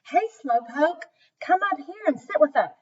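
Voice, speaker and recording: A woman puts on a storybook boy taunting a younger sibling, impatient and high-pitched. The delivery is loud and swooping, on a slightly muffled recording. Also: expressive, normal speed. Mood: teasing, calling. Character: teasing, impatient older brother, a boy. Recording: dull, distant microphone.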